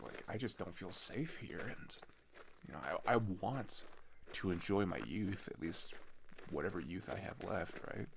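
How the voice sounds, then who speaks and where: A man's voice: sits low, vocal fry, background noise, full character voice. A man playing an earnest, disillusioned teenage traveler in an ASMR roleplay, talking hesitantly and conversationally, often trailing off. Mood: wistful, subdued. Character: chatty, earnest, disillusioned male teenager on a journey. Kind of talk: ASMR roleplay, naturalistic improvised-sounding monologue.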